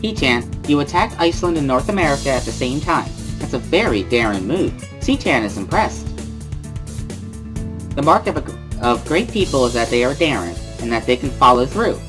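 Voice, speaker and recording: A woman playing a bubbly, excitable teenage schoolgirl in a dramatic reading of a visual novel, with a singsong, giggly manner. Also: a bass voice, moderate pace, over music. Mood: encouraging. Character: bubbly teenage schoolgirl relaying a friend's signed words.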